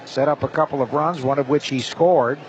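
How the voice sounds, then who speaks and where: A man's voice: mid-range voice, fairly quick, dull audio. A man doing live play-by-play commentary as a baseball announcer. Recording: clear outdoor ballpark noise. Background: outdoor stadium ambience.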